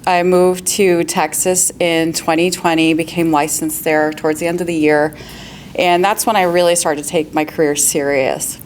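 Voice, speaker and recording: A woman's voice: low-pitched, steady tone, normal speed, a little hiss or hum. A woman, a real estate agent on a panel, giving upbeat, practical advice with frequent laughs and questions to the audience.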